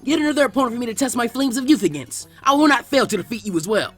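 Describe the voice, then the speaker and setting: A man's voice: very high-pitched, big pitch swings, very fast, faint music behind, voice pushed up, exaggerated voice. A man voicing an exuberant, earnest, competitive teenage ninja boy in a story reading. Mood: fired up. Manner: fervent, formal declarations.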